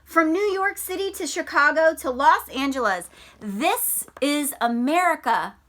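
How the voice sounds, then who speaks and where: Female schoolteacher for a children's read-aloud lesson; bright, clearly forward tone, slow and deliberate, expressive delivery. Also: sits high. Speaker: elementary school teacher.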